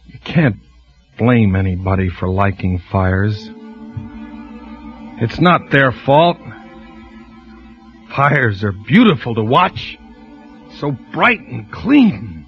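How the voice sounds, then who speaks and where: An actor playing a haunted man confessing his obsession with fires, in soft, weary 1940s radio acting. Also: lowish voice, very animated, takes it slowly, long pauses, exaggerated voice. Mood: rapt. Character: haunted male firebug, quietly confessing, fire-obsessed. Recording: muffled old radio broadcast with music.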